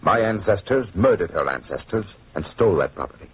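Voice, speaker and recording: An honourable, courteous nobleman prisoner in a vintage radio drama. His voice is low and very husky, and the recording is narrow and muffled. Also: big pitch swings, brisk, exaggerated voice. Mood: solemn confession. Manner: courteous, conscientious. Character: earnest, honourable French nobleman, wronged prisoner. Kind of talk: old-time radio drama acting.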